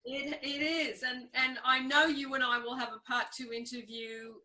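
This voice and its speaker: A woman hosting a casual interview, chatting in an animated, encouraging and agreeable way. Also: high voice, moderate pace. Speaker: interviewer, host.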